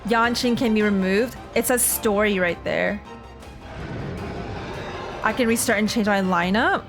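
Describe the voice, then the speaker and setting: A woman's voice: medium pitch, unhurried, over music. A woman live-streaming a game, chatting casually and thinking aloud in a rambling, slangy way. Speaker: streamer playing and reacting to a game.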